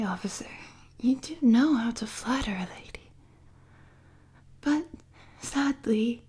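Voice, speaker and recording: A woman playing an unhinged, flirtatious female supervillain prisoner in a roleplay audio drama, with a breathy, husky, whispery voice and a teasing, mock-sweet manner. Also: fairly high pitch, mellow, full character voice. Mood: smug, seductive. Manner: slow, teasing, sultry, mock-sweet taunting.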